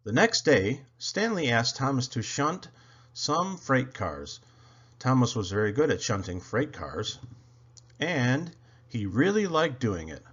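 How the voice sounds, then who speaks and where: A man reading a children's story aloud with expressive, animated storytelling. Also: mid-range voice, takes it slowly, breathy edge, lo-fi sound. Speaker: storybook reader.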